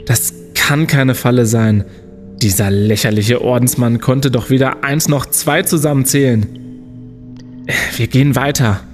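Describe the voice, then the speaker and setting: A man's voice: medium pitch, a little slow, soft background music, lightly acted. An actor playing a bossy, undaunted young male student leading adventurers in an audio drama, with commanding bravado. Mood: determined.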